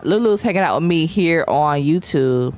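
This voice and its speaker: A woman's voice: low-pitched, animated, normal speed, darkish tone, faint music behind. A young woman streaming a game, chatting casually and reading chat aloud in slangy, playful talk. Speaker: VTuber streamer. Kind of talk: casual live-stream chat while gaming.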